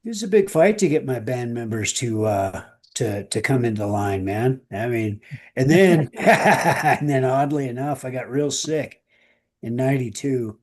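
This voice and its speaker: Middle aged american guy, normal mid pitch, telling old stories casually. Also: lively intonation, unhurried. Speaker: musician. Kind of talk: casual chat, anecdotes.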